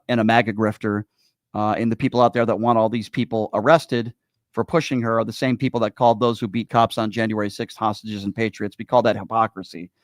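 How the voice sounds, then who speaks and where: A man's voice: medium pitch, no room sound, studio quality. A man hosting a radio call-in show, talking politics in an opinionated, blunt and confrontational way.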